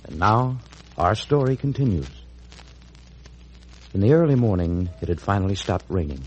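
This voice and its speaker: A man's voice: deep voice, expressive, moderate pace, a little mellow, dull audio, slight background noise. An American man reading scripted announcements and narration in the style of 1940s radio. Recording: old 1940s radio recording.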